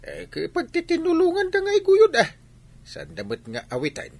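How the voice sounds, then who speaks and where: A man's voice: very high-pitched, wildly swooping pitch, normal speed, gravelly, a little mellow, full character voice. A man with a Filipino accent playing a grumbling old village grandfather in a comedy radio drama. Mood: matter-of-fact.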